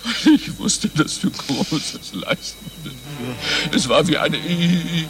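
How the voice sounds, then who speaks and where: A man playing a pompous, fatherly older commander who stammers haltingly, in a satirical radio play. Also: on the high side, animated, fairly bright tone, soft background music. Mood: sentimental, agitated. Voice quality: stammer, catching on first vowels.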